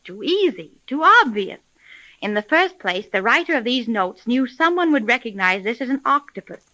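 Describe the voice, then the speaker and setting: An actress playing a shrewd, confident private detective, speaking briskly in a 1930s radio serial. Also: female voice, a little high, sing-song, bright, forceful tone, dry recording, poor audio. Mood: confident, analytical. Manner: brisk, self-assured.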